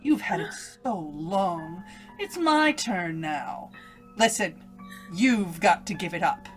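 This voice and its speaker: A woman's voice: lowish voice, lively intonation, takes it slowly, soft background music. A woman playing an evil, domineering inner voice in an old-time radio melodrama, taunting and commanding with menace. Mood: fierce, demanding. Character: evil inner voice, a domineering female alter ego.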